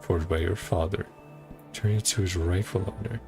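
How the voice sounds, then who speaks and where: Male game streamer, casual. Low, creaky voice, muttered asides, game music behind. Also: fairly even intonation, moderate pace. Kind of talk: casual game commentary, reading subtitles.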